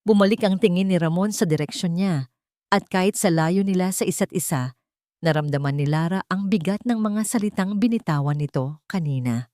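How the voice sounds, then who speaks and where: A woman narrating a scripted drama story in a measured, somber way. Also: on the low side, mellow, dry recording, clean studio sound.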